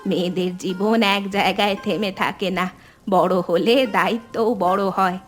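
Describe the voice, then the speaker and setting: Woman voicing a measured elderly village grandmother, music behind. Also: medium pitch, steady tone, natural voice. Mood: consoling. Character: elderly village mother and grandmother, patient, wise peacemaker. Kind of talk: animated family drama dialogue.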